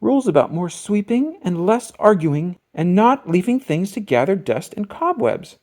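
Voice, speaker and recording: Storybook casting: mama bear, read by a narrator, brisk no-nonsense temper, lively intonation. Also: medium pitch, pitched above the performer's own voice. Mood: firm. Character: adult mother bear, fed up, no-nonsense. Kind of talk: children's picture book read-aloud.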